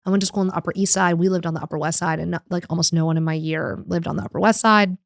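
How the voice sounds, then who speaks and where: A young woman with a New York accent and a naturally low voice, chatting candidly and self-deprecatingly as a podcast guest. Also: fairly even intonation, rapid-fire, gravelly, dry recording, clean studio sound. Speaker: stand-up comedian guest. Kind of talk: casual podcast chat.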